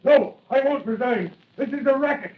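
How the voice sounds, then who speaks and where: A man's voice: extremely high pitch, normal speed. An actor playing a desperate, blustering official and father in an old radio melodrama, crying out in agitation. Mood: defiant. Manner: agitated, exclamatory. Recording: distant, echoey, muffled, crowd noise.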